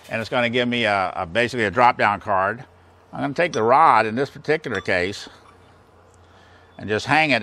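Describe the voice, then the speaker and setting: A man's voice: medium pitch, sharp tone. An American man in his sixties giving a plain-spoken, folksy technical demonstration, explaining things step by step. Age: 60s. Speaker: instructor demonstrating engine balancing equipment. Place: large indoor machine shop. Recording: clear background noise, workshop ambience.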